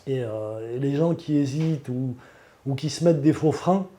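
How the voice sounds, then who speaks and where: A man's voice: mid-range voice, moderate pace, mellow. A man, giving an unscripted testimonial as a patient in an earnest, emphatic way. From Lyon, France. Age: 40s.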